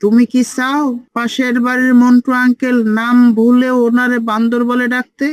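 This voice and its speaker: A woman's voice: fairly high pitch, monotone, moderate pace, dry recording, clean studio sound, natural voice. A woman voicing a cheeky, know-it-all five-year-old boy who lectures bossily in a comic cartoon. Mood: lecturing. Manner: bossy, lecturing.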